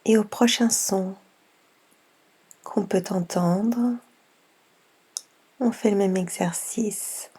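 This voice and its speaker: Slow, breathy woman guiding meditation. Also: mid-range voice. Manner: soft, breathy, half-whispered; unhurried, gentle instructions with long pauses. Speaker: yoga nidra guide.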